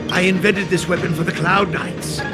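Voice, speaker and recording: A man playing an eccentric, excitable master inventor in a video game, theatrical and given to archaic rhyming verse. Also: sits high, moderate pace, over loud music, natural voice. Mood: boastful, theatrical. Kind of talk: video game character acting.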